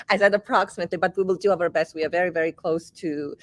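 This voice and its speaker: A woman with a Ukrainian accent and a fairly low voice talks on a video call about her team's work. She sounds animated and often laughs or apologizes. The sound is dry and close, with no room echo. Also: fast talker. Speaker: dev team lead. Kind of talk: casual video call chat.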